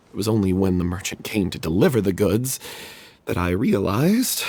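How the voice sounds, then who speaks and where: A guilt-ridden troupe member. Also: male voice, fairly low pitch, heavily acted. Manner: confessional, growing desperate self-blame. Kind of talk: video game voice acting.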